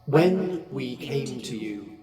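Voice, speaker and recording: A man's voice: medium pitch, animated. A man voicing ancient raven spirits that speak as one, grave and formal, with a second voice layered over his own. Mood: solemn. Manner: slow, grave and formal, a second voice doubling the words. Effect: layered chorus voice with long reverb. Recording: roomy echo, faint music.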